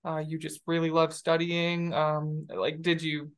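A man's voice: fairly high pitch, monotone, unhurried, a bit bright, clear, resonant tone. A young man hosting an interview, asking questions hesitantly with many restarts, over a video call from a room with bookshelves. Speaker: interview host.

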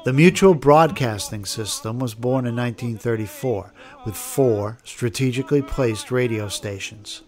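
A man's voice: medium pitch, normal speed, few pauses. American man narrating over music. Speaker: documentary narrator. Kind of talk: scripted narration.

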